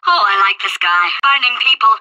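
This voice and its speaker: A woman voicing a sarcastic female computer AI stuck in a potato, with a robotic processed voice and deadpan insults. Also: mid-range voice, fairly quick, dull audio. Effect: robotic synthetic processing. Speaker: video game character. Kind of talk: game voice acting.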